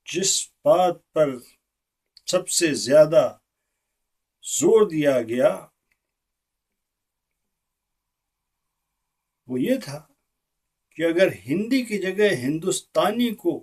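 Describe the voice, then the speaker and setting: A man performs an earnest, disillusioned Indian statesman in a theatrical monologue, heard a little way from the microphone. His voice sits slightly high for a man. He speaks as an orator to an assembly, very slowly and deliberately, leaving weighty pauses between phrases. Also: darkish tone. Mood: indignant. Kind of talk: theatrical political speech monologue. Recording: distant microphone.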